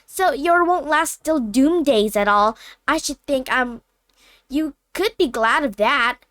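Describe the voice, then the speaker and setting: Storybook little girl, played by a woman: very high, eager, earnest, prone to cheerful rambling. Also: lively intonation, slow, fairly bright tone, no echo, clean studio sound, full character voice. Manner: eager, rambling, self-correcting. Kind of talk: storybook character voice.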